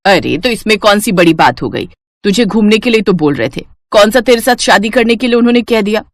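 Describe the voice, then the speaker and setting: A woman's voice: mid-range voice, animated, a little fast, dry recording, clean studio sound, natural voice. A woman voicing an older, strict, scolding mother-in-law for a cartoon, with a stern, chiding manner. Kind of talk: cartoon dubbing.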